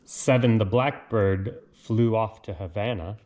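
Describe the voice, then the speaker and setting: Slow-paced man reading a storybook aloud. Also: on the low side, background noise. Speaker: reader of picture books. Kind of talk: read-aloud children's storybook narration with casual asides.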